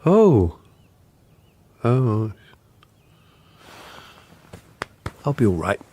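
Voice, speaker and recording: An actor playing a diffident, bereft older politician in a radio drama, formal, measured and wistful. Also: male voice, fairly low pitch, very animated, dark tone, slightly rough, heavily acted. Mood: forlorn.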